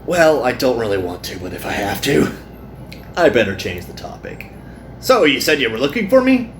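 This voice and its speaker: A man's voice: mid-range voice, very animated, normal speed, a little hiss or hum, pitched above the performer's own voice, close to an everyday voice. A man voicing a weary, self-deprecating young male worker in a visual novel.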